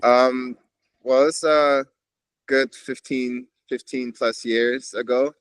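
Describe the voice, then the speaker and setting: A man's voice: medium pitch, steady tone, slow pace, clear, resonant tone. A man from Switzerland, a podcast guest reflecting in a meandering, casual way with frequent restarts. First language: Swiss German. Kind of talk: casual conversation.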